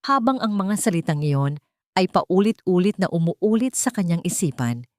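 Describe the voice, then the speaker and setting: Low-voiced woman narrating a dramatic story. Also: sing-song, darkish tone, dry recording, studio quality. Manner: measured, deliberate phrasing with short dramatic pauses.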